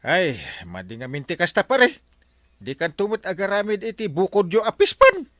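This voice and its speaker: An excitable Ilocano village captain. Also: male voice, sits high, moderate pace, no echo, exaggerated voice. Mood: lecturing. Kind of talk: radio comedy drama.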